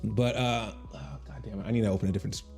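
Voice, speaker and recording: A man in his thirties talks loosely to his livestream viewers, joking at his own expense and drifting from one tangent to the next. His voice is mellow and relaxed, in a small home room, close to a boom mic, with faint music underneath. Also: very fast, gravelly. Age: 30s. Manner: rambling, self-deprecating and joking, reacting to chat. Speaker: comedian streaming on Twitch. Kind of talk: casual livestream chat with viewers. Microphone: studio mic on boom arm.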